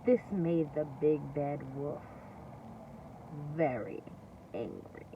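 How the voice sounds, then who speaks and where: A woman teacher reading a story aloud to young children, expressive and deliberate, pausing to explain and ask questions. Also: deep voice. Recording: distant, dull lo-fi recording with faint noise.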